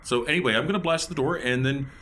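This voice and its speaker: A man playing a tabletop game, chatting casually and thinking aloud in halting restarts. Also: mid-range voice, fairly bright tone.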